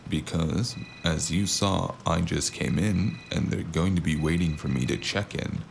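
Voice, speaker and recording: A man playing a sardonic, world-weary cyberpunk investigator in an audio drama, narrating in first person with a gravelly, wry delivery. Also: low-pitched, unhurried, slight background noise. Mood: defensive, sarcastic. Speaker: narrator and lead character.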